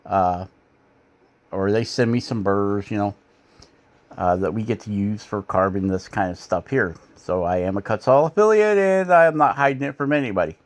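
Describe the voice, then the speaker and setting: A man's voice: lowish voice, normal speed. A middle-aged man from Michigan, hosting a woodcarving channel, narrating his carving footage in a rambling, self-deprecating, folksy way with lots of "uh" fillers.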